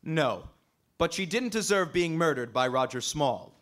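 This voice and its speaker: Actor playing radio sleuth, slightly high male voice, composed, assured, measured, explanatory. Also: lively intonation, a bit bright. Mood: assured, serious. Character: composed male amateur detective, assured and reasoning. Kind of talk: old-time radio drama acting.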